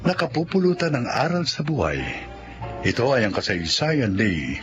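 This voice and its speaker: A man's voice: medium pitch, very animated, normal speed, dull audio. A man with a Filipino accent delivering scripted dramatic narration as the host of a radio drama. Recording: radio drama over loud music. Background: loud dramatic music.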